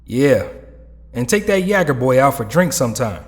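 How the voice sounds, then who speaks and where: A man's voice: medium pitch, big pitch swings, normal speed, close to an everyday voice. A man playing a loyal, joking young former superhero in an audio drama, with laid-back, slangy talk. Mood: teasing, supportive. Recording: long hall reverb.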